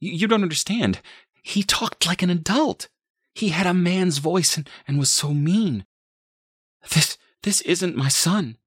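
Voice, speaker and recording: A man's voice: wildly swooping pitch, measured pace, hardly any pauses, a bit bright, husky, dry recording, clean studio sound, exaggerated voice. A man voicing a distraught, frightened young mother in an audiobook, stammering and pleading. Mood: panicked. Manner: stammering, high-strung.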